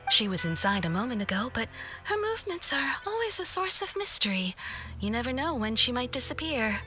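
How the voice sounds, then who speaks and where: A woman's voice: on the high side, big pitch swings, a little fast, pushed and bright, soft background music. A woman playing a polite, helpful young shrine attendant in a video game. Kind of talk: video game character acting.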